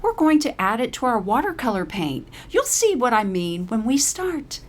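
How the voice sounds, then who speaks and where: A woman's voice: fairly high pitch, very animated, normal speed, pitched above the performer's own voice. A woman voicing a polite, earnest, slightly flustered hippie art teacher in a short story.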